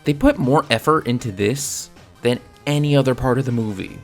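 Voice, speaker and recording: A man's voice: mid-range voice, moderate pace, faint music behind. A man narrating a scripted video review in a casual, opinionated manner. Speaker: film reviewer.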